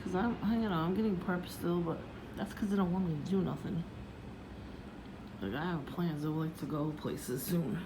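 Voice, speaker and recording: A woman vlogging casually about her day in a low voice, meandering with many fillers and restarts. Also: normal speed. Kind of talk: casual rambling vlog. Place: outdoors, windy. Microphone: phone. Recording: noisy phone recording.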